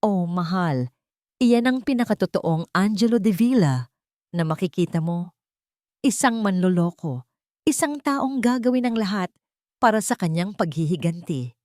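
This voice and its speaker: A woman's voice: expressive, normal speed, long pauses, darkish tone, no room sound, clean studio sound, close to an everyday voice. A woman storyteller voicing a haughty, mocking rich society woman with a contemptuous, taunting manner. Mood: cruel taunting. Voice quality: shrill.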